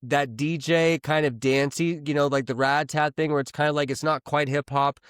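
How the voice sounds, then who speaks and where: Podcast guy rambling about songs, swears a lot, smooth clear voice, dry close mic. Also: on the high side, moderate pace, no room sound. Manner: profane, rambling, excitable. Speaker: podcast co-host. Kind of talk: casual chat. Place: lives in Los Angeles.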